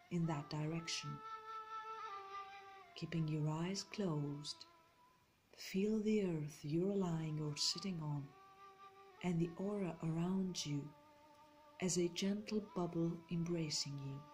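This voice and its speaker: A woman narrating a guided meditation in a soothing, measured, deliberate way, with pauses between phrases. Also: fairly low pitch, a little mellow. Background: meditation music.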